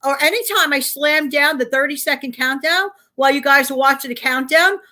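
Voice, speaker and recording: A woman with a New York accent co-hosts a casual live stream, lively and full of instructions. Her voice sits high and sounds bright. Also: brisk. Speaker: co-host.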